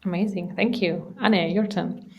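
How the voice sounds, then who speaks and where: A woman's voice: on the low side, steady tone, measured pace, highs cut off. Hesitant woman podcast host. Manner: hesitant, frequent ums and restarts. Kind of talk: conversational podcast interview.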